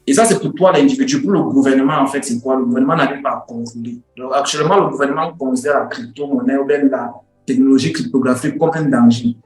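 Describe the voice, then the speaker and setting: A man's voice: medium pitch, fast, few pauses. A man, an English speaker, giving an informal lecture in an emphatic, repetitive way with rhetorical questions. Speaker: online course instructor. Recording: roomy echo with music behind.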